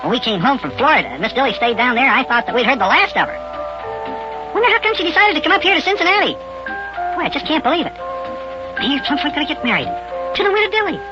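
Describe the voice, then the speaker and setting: A high-pitched, brash little boy whose voice bounces all over. He is whiny, slangy and excitable, as in an old children's radio serial. The recording is muffled. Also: quick pace, pauses often, over music. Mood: dismayed.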